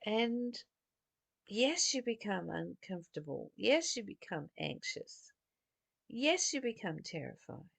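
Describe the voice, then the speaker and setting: Slow, creaky-voiced woman giving spiritual guidance. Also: mid-range voice, big pitch swings, dry recording. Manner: deliberate short phrases with emphatic stresses. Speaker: spiritual teacher. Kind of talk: spiritual teaching talk, intimate and meditative.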